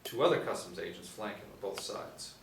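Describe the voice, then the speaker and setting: Male narrator for script directions, lowish voice, recorded off-mic in a roomy space. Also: animated, brisk. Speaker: narrator reading stage directions. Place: indoor room, seated reading panel. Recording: roomy, distant mic, faint noise.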